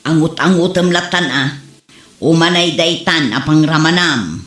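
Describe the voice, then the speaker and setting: A woman's voice: low voice, a little slow, fairly bright tone, echoey room. A woman acting a nagging, complaining wife who is short of money in an Ilocano comedy drama. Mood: reproachful.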